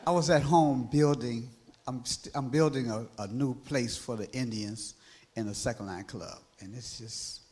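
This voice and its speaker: A tired man introducing himself on a panel stage in a relaxed, halting way. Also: mid-range voice, breathy edge. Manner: relaxed, folksy, slightly halting. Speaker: social club president. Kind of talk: casual self-introduction on a panel. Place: event stage with live audience.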